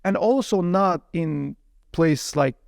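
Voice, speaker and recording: A man whose first language is Chinese speaks as an interview guest, slightly higher-pitched than most men, with a gravelly texture. He tells his story casually and laughs often along the way. The recording is dry and close. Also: slow, dry recording. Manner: lively, laughs often, many "you know" fillers.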